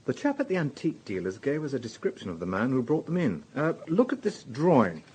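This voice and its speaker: An actor playing a shrewd, easygoing British police detective in a radio drama, with a husky voice and a dry, probing, conversational manner. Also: male voice, mid-range voice, wildly swooping pitch, fairly quick, darkish tone, a little hiss or hum. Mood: probing, casual. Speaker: actor and narrator. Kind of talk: naturalistic radio drama with first-person narration.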